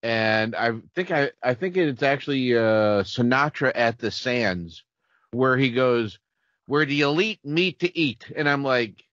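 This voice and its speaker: A man with an average-pitched voice chats as a podcast panelist. He tells things in an animated, informal way, recorded dry with slightly muffled sound. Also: measured pace, no echo. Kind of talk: casual chat. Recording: dry, slightly dull audio.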